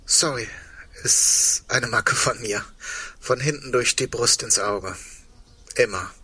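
A husky male voice with a bright, forward edge and a middle pitch. He is a wry, digressive man facing the end with quiet hope. The delivery is reflective and understated, as in an intimate, naturalistic radio play. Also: slow, full character voice. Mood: pensive, reminiscing.

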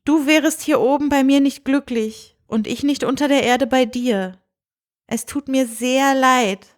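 A woman's voice: high voice, a little slow, pitched above the performer's own voice. A woman voicing a spirited, kind young princess in a storybook reading, earnest and emphatic. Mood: gentle regret.

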